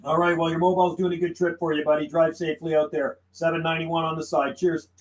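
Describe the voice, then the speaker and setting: A man's voice: fairly high pitch, flat delivery, fast, low-quality recording. A man chatting casually and making radio calls on a live stream, easygoing and friendly, often saying man and buddy. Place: radio room inside his house.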